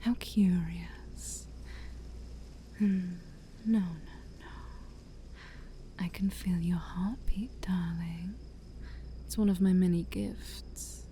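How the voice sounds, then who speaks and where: Woman playing a dark, breathy, ancient demon princess. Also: lowish voice, fairly even intonation, a little hiss or hum. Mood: teasing, curious. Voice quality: breathy, often near-whispered. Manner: slow, teasing, haughty, theatrical. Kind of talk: soft-spoken ASMR roleplay.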